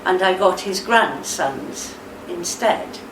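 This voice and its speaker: An actress playing a steady, reassuring middle-aged wife in a radio play, gentle and consoling. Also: female voice, lowish voice, slow pace. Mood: careful, explaining. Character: steady, tender, reassuring wife of a troubled veteran. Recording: roomy, distant mic, faint background noise.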